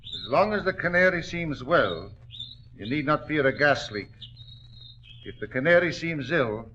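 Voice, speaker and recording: An actor playing a devoted, worried mine hand, earnest and deferential, in a 1940s radio drama. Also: male voice, a little high, animated, a little slow, sharp tone, slight background noise. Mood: helpful, solemn. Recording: dull old-time radio recording.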